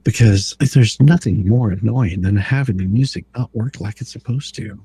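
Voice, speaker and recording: A man with a husky, raspy voice hosting a live stream in a casual, folksy way, often repeating himself as he explains. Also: on the low side, moderate pace, dark tone. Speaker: live stream host running the show.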